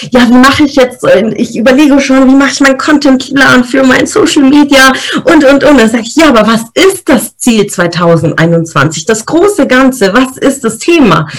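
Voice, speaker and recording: Lively woman podcast host. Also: a little high, poor audio. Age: 30s. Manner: lively, explains step by step with frequent "ja?" check-ins. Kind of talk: motivational talk on goal setting.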